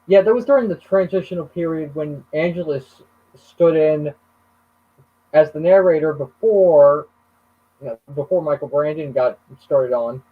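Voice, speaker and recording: Husky-voiced man over a tinny line. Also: a little high, measured pace. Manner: hesitant, trails off mid-sentence. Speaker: live stream host. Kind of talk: casual chat. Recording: muffled phone-like call audio.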